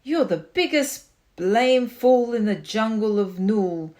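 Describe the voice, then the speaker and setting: A woman's voice: fairly high pitch, expressive, takes it slowly, darkish tone. A woman voicing a sour, scornful kangaroo mother in a haughty, scoffing storybook read. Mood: mocking.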